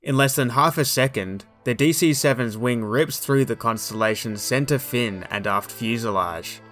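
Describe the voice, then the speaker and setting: A man reading scripted documentary narration in a measured, formal, explanatory way. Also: medium pitch, a bit bright.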